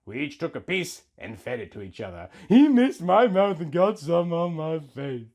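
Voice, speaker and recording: Man, thirties, comic story narrator, melodramatic, mock-sentimental, very animated intonation, gravelly edges. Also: a little high, moderate pace. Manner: melodramatic, mock-sentimental, swinging from hushed to loud. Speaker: comic host reading out fan fiction. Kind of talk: theatrical comic story reading.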